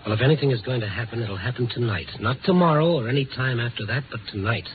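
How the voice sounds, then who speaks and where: An actor playing a tough, steady newspaper reporter in a 1950s radio drama, clipped and firm, on an old recording. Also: male voice, mid-range voice, quick pace, noisy background, natural voice. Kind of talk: 1950s radio drama acting and first-person narration. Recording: tinny old radio recording, music behind.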